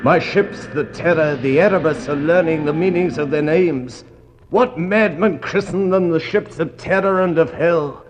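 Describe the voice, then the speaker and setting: Actor as a grave, anguished doomed commander. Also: male voice, a little high, lightly acted. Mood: rising anguish. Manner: grave, theatrical, deliberate. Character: anguished doomed male ship's commander, trapped in ice. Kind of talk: 1960s poetic radio drama monologue. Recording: dull, noisy 1960s radio recording.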